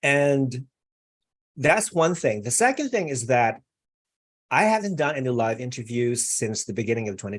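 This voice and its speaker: A man hosting a live online interview, chatty and effusive, with many fillers. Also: mid-range voice. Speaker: interview host.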